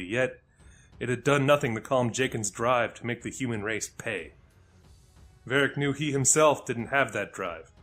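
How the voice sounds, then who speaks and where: Guy narrating a fantasy audio story, medium pitch, quiet music underneath.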